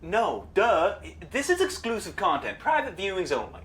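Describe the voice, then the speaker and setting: A woman's voice: mid-range voice, wildly swooping pitch, normal speed, crowd noise. A woman playing a creepy, pushy male amateur filmmaker in an audio drama, over-familiar and wheedling. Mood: smarmy, wheedling. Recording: noticeable background noise and ambience.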